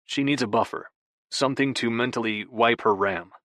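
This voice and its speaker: A man's voice: mid-range voice, moderate pace, a bit bright, no echo, clean studio sound, close to an everyday voice. A man voicing an easygoing young man who speaks bluntly and matter-of-factly.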